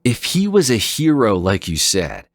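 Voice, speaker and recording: Guy doing a lost robot warrior with no memory, deep voice, slow n hesitant, sincere, audio drama. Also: wildly swooping pitch, no echo, clean studio sound, full character voice. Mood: troubled, hopeful. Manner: hesitant, wondering, questioning. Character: amnesiac male android warrior, bewildered, gentle, sincere. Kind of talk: dramatic fan fiction reading.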